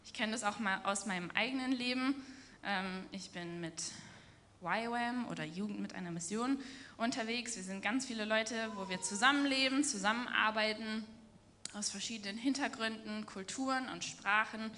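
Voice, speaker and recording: Bright-voiced woman giving a sermon. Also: mid-range voice, moderate pace, slight background noise. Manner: earnest, explanatory, acts out quoted speech. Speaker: preacher in a church. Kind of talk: sermon with personal anecdote.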